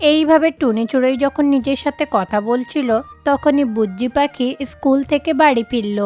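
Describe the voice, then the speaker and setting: A woman's voice: sits high, moderate pace, darkish tone, soft background music. A woman reading scripted narration for a children's cartoon story.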